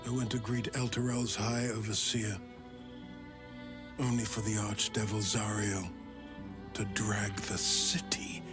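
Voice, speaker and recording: An actor playing a haunted, courteous male adventurer in a fantasy video game, telling his story in solemn, literary phrases. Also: fairly low pitch. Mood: grave, guarded.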